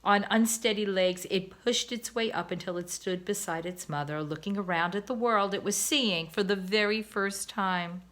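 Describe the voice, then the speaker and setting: A woman, a teacher, reading a children's novel aloud in an expressive, gentle way. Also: mid-range voice, moderate pace, hardly any pauses. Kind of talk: storybook read-aloud.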